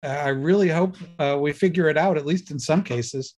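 A co-host in a casual interview, speaking haltingly and searching for words. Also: mid-range voice, darkish tone. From born in Pittsburgh.

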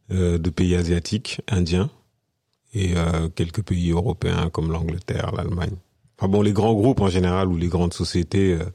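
A man's voice: deep voice, mellow, no echo, clean studio sound. A man in his forties from Senegal, a guest on an interview, speaking casually with many fillers and restarts. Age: 40s. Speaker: podcast guest, business consultant.

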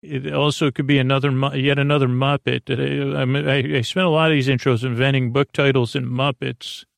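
A man with a creaky, gravelly voice hosts a bedtime podcast, rambling in a halting, meandering, whimsical way, repeating himself and trailing off. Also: medium pitch, level tone, no echo, clean studio sound. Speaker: host of a bedtime sleep podcast.

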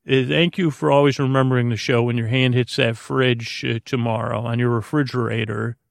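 A man with a creaky voice hosting a sleep podcast, rambling soothingly and haltingly in a friendly bedtime monologue, repeating himself and trailing off mid-thought. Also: medium pitch, no room sound, studio quality. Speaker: podcast host.

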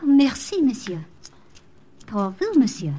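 A flustered young maid in a vintage radio play, fluttering up and down in a high, lively voice. Her tone is soft and mellow despite the excitement. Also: slow, slightly rough, full character voice. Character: young French lady's maid, flustered, eager. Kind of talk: 1950s radio drama acting.

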